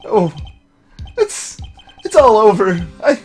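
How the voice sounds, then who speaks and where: High, wailing, melodramatic young guy. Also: big pitch swings, takes it slowly, heavily acted. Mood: wailing despair. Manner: shouted, stammering wails. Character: frantic, wailing, melodramatic young man, despairing defendant. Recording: music playing behind the voice.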